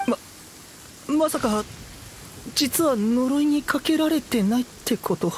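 A woman's voice: high voice, slow, a little mellow, slight background noise. A woman playing a timid, polite young commoner with a hesitant, earnest manner, in anime game style. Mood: flustered.

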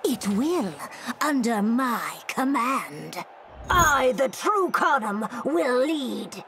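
A ruthless female mutant warrior for a fighting game. Her voice is high and swings widely in pitch, with slow, deliberate threats and an imperious, commanding manner. Clear background noise sits behind the lines. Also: big pitch swings, fairly bright tone, heavily acted. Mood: commanding, defiant. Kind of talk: video game character dialogue.

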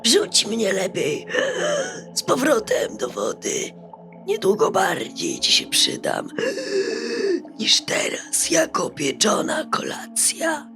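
A huge pleading fish from a storybook, played by a woman, draws its words out slowly. The voice is breathy, slipping into whispers, over clear music. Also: mid-range voice, wildly swooping pitch, pitched above the performer's own voice, full character voice. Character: huge talking pike, slow, pleading fairy-tale fish. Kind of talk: bedtime fairy-tale audio play. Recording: music under the voice.

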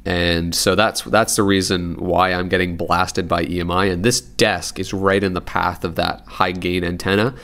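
A man with a very low voice and a lot of vocal fry talks through a repair demonstration. He is informal and unscripted, rambling a little as he thinks out loud at his workbench. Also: little pitch movement, moderate pace, hardly any pauses. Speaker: radio repair hobbyist and presenter. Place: indoors at a desk in an apartment living room.

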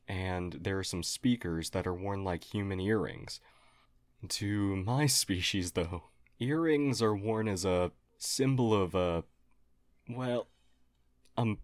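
A man playing a polite, earnest, apologetic humanoid alien in an audio roleplay, speaking formally and haltingly. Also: lowish voice, dry recording, studio quality. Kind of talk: audio roleplay acting addressed to listener.

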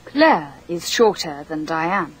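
A woman reading a scripted language course drill, slowly and with careful enunciation. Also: deep voice, sing-song, breathy edge, rough recording. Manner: slow, deliberate, carefully enunciated.

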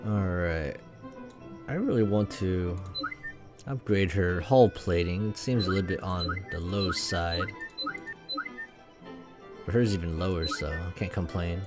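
A man's voice: low voice, a little slow, pauses often. A man giving casual commentary as he plays through a video game. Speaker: let's play streamer. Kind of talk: reading a visual novel aloud and casual gameplay commentary. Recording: game music behind the voice. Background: game music.

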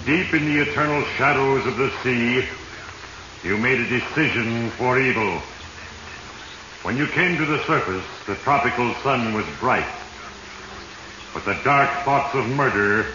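A man's voice: mid-range voice, natural voice. Sinister echoing Fate played by a man. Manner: heavy-handed, ominous. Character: Fate, sinister male narrator gloating over doomed men. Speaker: host narrator. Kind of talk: 1940s radio acting, second-person narration. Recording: muffled, noisy, echoing 1940s radio recording.

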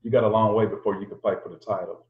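A teasing young woman in a boxing gym, as a man reads her lines. The voice stays soft and a bit low, and it sounds muffled in a roomy space. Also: steady tone, very fast, close to an everyday voice. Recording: roomy lo-fi home recording.

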